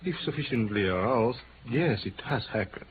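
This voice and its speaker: An actor playing a polite, cautious psychiatrist with a Viennese accent and a formal, hesitant manner in 1950s radio drama. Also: male voice, medium pitch, animated, normal speed, natural voice. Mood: measured, formal. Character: small, courteous, cautious Viennese male psychiatrist. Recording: tinny, noisy old radio recording.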